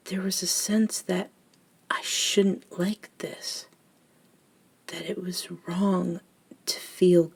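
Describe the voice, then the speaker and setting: Slow, hushed elder vampire woman, acted. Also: on the low side, exaggerated voice. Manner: soft-spoken, breathy near-whispers, measured pauses. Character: centuries-old female vampire elder, gentle and reflective mentor. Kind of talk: intimate ASMR roleplay monologue.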